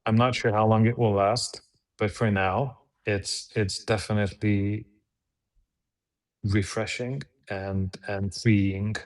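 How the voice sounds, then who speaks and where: A man, guest in an interview, answering in a measured, halting way with frequent restarts. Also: lowish voice, fairly even intonation. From Hungary. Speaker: guest, PR agency head.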